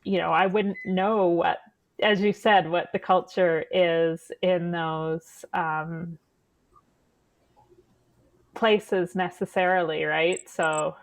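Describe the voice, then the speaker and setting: A woman's voice: on the low side, steady tone, takes it slowly, no room sound. Canadian woman host, forties, dry headset sound, chatty and meandering. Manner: rambling, many restarts, laughs at herself. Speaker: podcast host. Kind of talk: casual video-call chat. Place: home kitchen.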